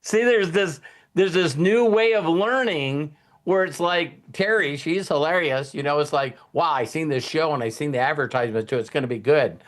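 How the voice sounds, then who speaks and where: Rambling, folksy man with a higher-pitched voice. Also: moderate pace. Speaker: host of a motivational team talk. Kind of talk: casual motivational talk.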